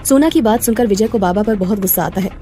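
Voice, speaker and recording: A woman's voice: medium pitch, fast, dark tone. A woman narrating a scripted moral story as a storyteller.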